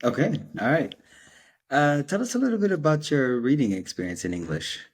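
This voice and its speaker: A man's voice: on the high side, very animated, brisk, slightly rough. A man hosting a casual podcast interview, often repeating short acknowledgments and asking questions. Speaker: podcast host.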